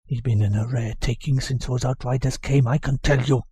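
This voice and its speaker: A reader voicing a hurried, grumbling male servant who has been running and is impatient. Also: medium pitch, fairly even intonation, warm tone, slightly rough, no echo. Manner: impatient, out of breath. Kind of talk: audiobook character voice.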